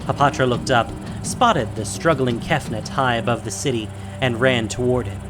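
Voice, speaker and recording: A man narrating a fantasy audio drama in a measured, theatrical storytelling style. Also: medium pitch. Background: music and sound effects.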